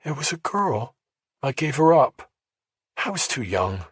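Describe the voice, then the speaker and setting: A man voicing a grieving, guilt-ridden middle-aged mother in an audiobook, sorrowful and halting. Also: medium pitch, sing-song, slightly rough, dry recording, studio quality, pitched above the performer's own voice, full character voice. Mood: confessing, regretful. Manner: sorrowful, halting, bitterly resigned.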